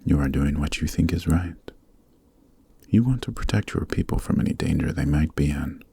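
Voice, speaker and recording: A man playing a noble, gentle demon king in an ASMR roleplay, speaking in a measured, soothing near-whisper. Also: very deep voice, lively intonation, creaky, slight background noise, exaggerated voice. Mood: calm, earnest. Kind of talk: ASMR roleplay, soft dramatic acting.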